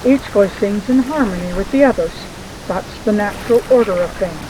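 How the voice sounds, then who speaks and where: A woman playing a wise, calm mentor of magic in an audio drama, with formal, deliberate phrasing. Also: medium pitch, lightly acted. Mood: serene instruction. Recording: noisy, with water sound effects behind.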